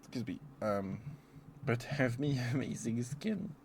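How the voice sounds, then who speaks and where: A man's voice: mid-range voice, big pitch swings, measured pace, slight background noise. A man streaming casual, rambling ASMR chat, musing aloud hesitantly and letting thoughts trail off.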